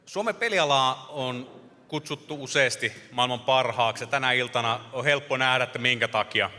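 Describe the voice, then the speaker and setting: Bright-voiced male gala host in hall. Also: mid-range voice, a little fast. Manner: warm, celebratory address to a crowd. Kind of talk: formal welcome speech. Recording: reverberant hall with crowd.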